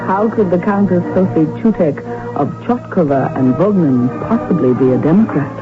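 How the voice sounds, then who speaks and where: A woman's voice: lowish voice, expressive, moderate pace, close to an everyday voice. Woman playing an arch countess, muffled old radio. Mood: arch, playful. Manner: formal, arch, precise diction. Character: witty, outspoken countess from an impoverished noble house. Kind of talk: radio drama acting. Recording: muffled old radio broadcast, music behind. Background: music.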